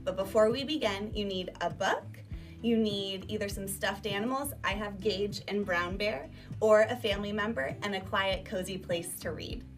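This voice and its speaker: A woman's voice: medium pitch, moderate pace. A woman teacher giving an emphatic, instructional talk to young children, asking them questions as she goes. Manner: emphatic, animated teacher delivery with rhetorical questions. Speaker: elementary school teacher. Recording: distant microphone, music behind.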